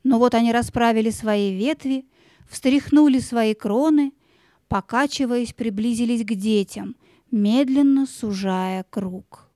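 A woman narrating a children's story in a measured, expressive way, drawing out words for dramatic effect. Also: on the high side, no echo, studio quality.

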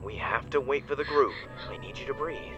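A man playing a desperate, protective crash survivor in an audio drama, urgent and halting as he pleads. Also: medium pitch, dull audio, music in the background, close to an everyday voice. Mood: urgent, reassuring. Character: desperate, protective male crash survivor stranded with dying crewmate.

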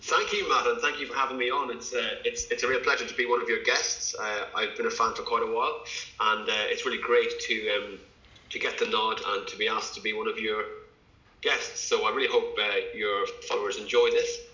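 A man's voice: lowish voice, steady tone, a little fast, sharp tone. Hesitant man on an echoey call. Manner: hesitant, many fillers and restarts. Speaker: podcast guest, GP. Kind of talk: casual interview talk. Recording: echoey, dull lo-fi sound.

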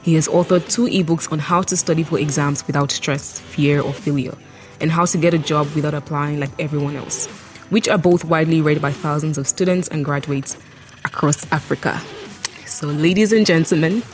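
A woman hosting a podcast interview, lively and quick to laugh, who reads out her guest's biography. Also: low voice, soft background music. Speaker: podcast host.

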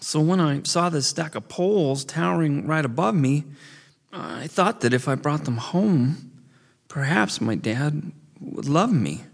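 A man's voice: fairly high pitch, big pitch swings, measured pace, hardly any pauses, mellow, breathy edge, pitched above the performer's own voice, exaggerated voice. A man voicing a frightened young male beaver who stammers and pleads. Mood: tearful. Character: scared young male beaver, eager to please.

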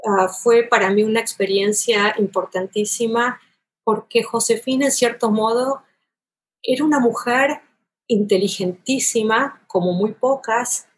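A woman's voice: a little high, measured pace, breathy edge, low-quality recording. A woman from Buenos Aires with a porteño Argentine accent, a literary scholar talking at length in an interview, thoughtful and elaborate, often tagging her sentences with a questioning "¿no?". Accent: Argentine porteño Spanish. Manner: thoughtful, elaborate, with frequent restarts and "¿no?" tags. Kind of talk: academic interview talk.

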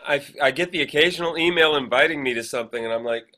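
A man with an American accent, co-hosting a podcast in an informal, animated, chatty way. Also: on the high side, fast talker. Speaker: podcast co-host. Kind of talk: casual chat.

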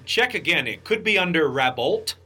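A man's voice: a little high, lively intonation, normal speed, sharp tone, breathy edge, heavily acted. A man playing an earnest, matter-of-fact detective in a comic radio play, dry and persistent. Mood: earnest questioning.

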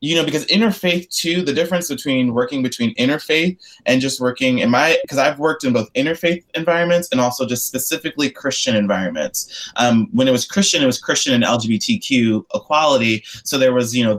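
A young man from the United States, talking about his activist work in an interview, earnest and rambling with lots of fillers and restarts. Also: mid-range voice. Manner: earnest and expressive. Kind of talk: casual interview conversation.

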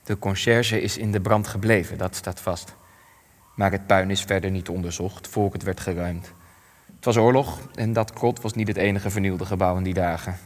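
Guy acting old war survivor, low voice, grave and guilt-ridden, radio play, big echoey reverb. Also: fairly even intonation, natural voice. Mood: grave recollection. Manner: sombre, measured, short weighty phrases. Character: elderly male war survivor, grief-stricken, ashamed, plain-spoken. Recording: long hall-like reverb.

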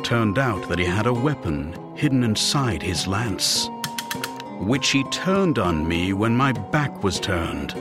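An indignant, principled male supervillain in an audiobook drama. His pitch leaps dramatically while he takes his words at a measured pace, with music clearly playing behind him. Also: very animated. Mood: brooding. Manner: flustered, indignant, theatrical. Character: exasperated, principled male supervillain with a false arm. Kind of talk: full-cast audiobook drama.

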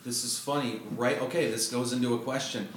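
A man's voice: mid-range voice, steady tone, brisk. Podcast host guy, teasing, friendly, roomy echo, bit of hiss. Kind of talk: casual interview. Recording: roomy room, faint noise.

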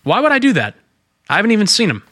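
An American actor playing an earnest young undercover detective, courteous but insistent, in a radio drama. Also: male voice, high voice, wildly swooping pitch, racing, bright, forceful tone, no echo, clean studio sound, full character voice. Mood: friendly, casual. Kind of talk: old-time radio drama acting.

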